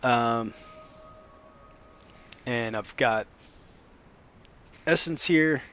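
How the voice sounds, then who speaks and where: A man, a little hoarse after a cold, casually explaining a modded game as he plays, with plenty of ums and restarts. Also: medium pitch, very slow, faint music behind. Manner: relaxed, rambling, lots of fillers. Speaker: Minecraft let's play host.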